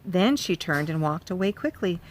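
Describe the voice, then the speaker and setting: A woman reads a children's storybook aloud with a lively, rising and falling voice. She talks gently to young listeners, pausing to point out the pictures as she goes. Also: lowish voice, a little hiss or hum. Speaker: children's author reading aloud. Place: farm.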